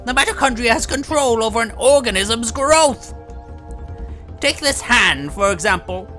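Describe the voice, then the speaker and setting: A woman plays an obsessive scientist in a video game fan dub. The voice is high and bright, with music behind it. The delivery is deliberate, pompous and condescending, as if lecturing lesser minds with sinister reverence. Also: lively intonation. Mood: condescending menace.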